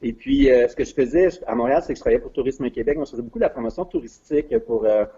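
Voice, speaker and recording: A man's voice: mid-range voice, racing, mellow, breathy edge, highs cut off. A middle-aged man with a Quebec French accent, a guest in a casual interview, talking hesitantly with many fillers and restarts. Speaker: guest, regional business development adviser.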